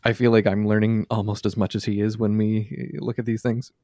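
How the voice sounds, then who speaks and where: A man's voice: on the low side, little pitch movement, brisk, dark tone, no echo, clean studio sound. A man chatting casually as a podcast co-host, hesitant, with many fillers and restarts. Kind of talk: casual chat.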